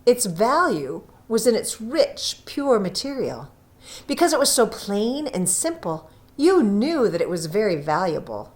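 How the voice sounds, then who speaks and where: Woman reading an audiobook story, really slow n dramatic, lots of up and down in the voice. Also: mid-range voice, very animated. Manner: unhurried, dramatic emphasis with drawn-out phrases. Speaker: audiobook reader. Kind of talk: expressive scripted storytelling narration.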